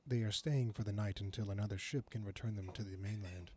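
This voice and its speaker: A man playing the composed narrator of a fantasy audio drama, measured and formal in scripted delivery. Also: fairly low pitch, steady tone, dark tone, lightly acted.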